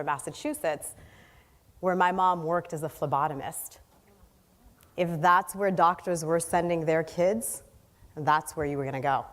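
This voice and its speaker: Haitian-born woman speaking in a hall. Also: lowish voice. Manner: earnest, storytelling with emphatic lists. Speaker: guest speaker. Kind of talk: inspirational keynote speech. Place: large hall on stage. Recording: long hall reverb.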